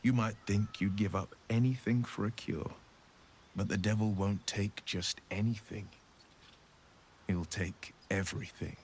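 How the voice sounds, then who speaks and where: A man playing a grave video game character who gives warnings in a measured, theatrical, ominous way. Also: on the low side, fairly even intonation, darkish tone, slight background noise. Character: grave, cautionary man warning of a devil's bargains.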